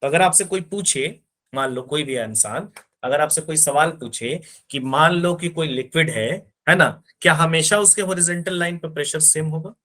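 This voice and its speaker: A man's voice: a little high, normal speed, a bit bright. A man teaching physics in an online class, explaining step by step and often checking that his students understand.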